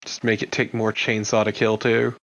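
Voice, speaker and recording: A man's voice: on the low side, completely flat delivery, moderate pace, creaky, dull audio. A man co-commentating on a video game in a blunt, opinionated, casual way. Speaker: let's play co-commentator.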